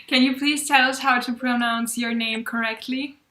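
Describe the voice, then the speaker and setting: Woman co-host, fairly high, echoey. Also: fairly even intonation, moderate pace, pushed and bright. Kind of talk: casual interview chat. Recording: roomy echo.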